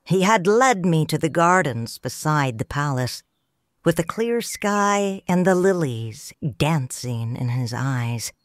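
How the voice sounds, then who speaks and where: Low-voiced woman narrating slowly. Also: animated, no echo. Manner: tender, reflective, first-person storytelling. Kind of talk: audiobook narration. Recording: dry studio voice with soft music.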